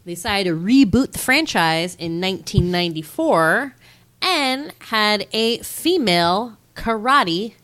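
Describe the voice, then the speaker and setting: A woman in her thirties co-hosting a casual movie podcast, stuffy from a cold, chatting in a lively way and swearing casually. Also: medium pitch, a little slow. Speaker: podcast co-host.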